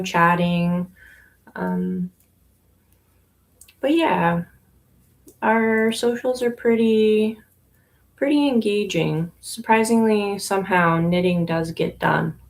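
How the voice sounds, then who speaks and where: Slow, unhurried woman chatting while knitting. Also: on the low side, gravelly. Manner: relaxed, unhurried, with frequent ums and trailing pauses. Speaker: yarn shop owner. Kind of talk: casual livestream chat while knitting. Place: inside her yarn shop.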